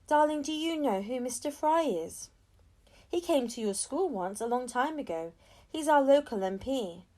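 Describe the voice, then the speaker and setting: A woman voicing a caring, protective mother in a children's story, speaking gently and earnestly. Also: high-pitched, animated, normal speed.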